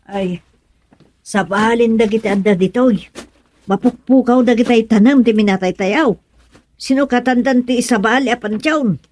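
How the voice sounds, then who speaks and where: A woman's voice: on the high side, moderate pace, darkish tone, no room sound, natural voice. A woman playing a worried, superstitious village wife and mother with an Ilocano Filipino accent, fretful and exclamatory in radio comedy-drama style. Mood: alarmed.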